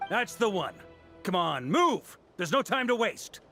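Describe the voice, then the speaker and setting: A wry, lively knight commander. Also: male voice, high-pitched, a little slow, music in the background, heavily acted. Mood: urgent. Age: middle-aged. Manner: self-assured, wry, commanding. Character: seasoned knight commander, confident and easygoing. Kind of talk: video game voice acting.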